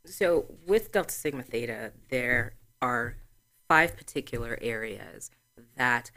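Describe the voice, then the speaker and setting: A woman in her forties from Trinidad, a guest answering interview questions in a measured, explanatory way. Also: very deep voice, expressive, sharp tone. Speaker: guest, sorority chapter president.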